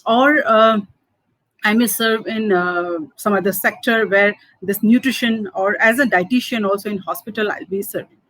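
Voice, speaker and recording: Woman, fifties, Manipuri accent, non-native English; halting, filler-heavy interview answers, mid pitch, dry sound. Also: dry recording, low-quality recording. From Manipur, India. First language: Hindi and Manipuri. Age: 50s. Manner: halting, many fillers, soft laughs. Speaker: food entrepreneur. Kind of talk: interview answers, personal story.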